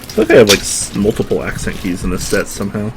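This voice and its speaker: A young man with a low-pitched voice, talking loosely and rambling at his desk while he works with his hands. Faint music plays behind him throughout. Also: quick pace. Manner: relaxed, rambling, self-deprecating, answers chat. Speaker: streamer building a keyboard. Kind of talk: casual live-stream chat. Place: home desk. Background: music playing.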